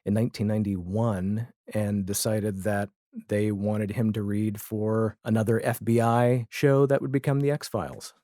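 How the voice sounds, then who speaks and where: A man with a fairly low voice talks casually as a guest on a podcast. He feels his way through sentences in a hesitant, think-aloud manner. The sound is dry, with very little room around it. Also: level tone, measured pace, warm tone, no echo. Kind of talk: casual chat.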